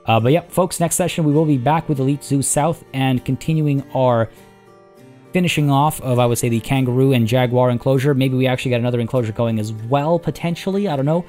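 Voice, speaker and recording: A man with a hoarse, tiring voice, chatting casually as he plays a zoo-building game and rambling through his thoughts. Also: medium pitch, a little fast, a little mellow, faint music behind. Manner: rambling, chatty, many restarts and fillers. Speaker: let's play host. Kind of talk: casual gameplay commentary.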